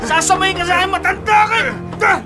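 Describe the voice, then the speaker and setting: An actor playing a loyal young accomplice, blunt and streetwise, in a Filipino radio drama. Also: male voice, very high voice, normal speed. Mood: menacing. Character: loyal young accomplice, nervous then threatening robber. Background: dramatic music.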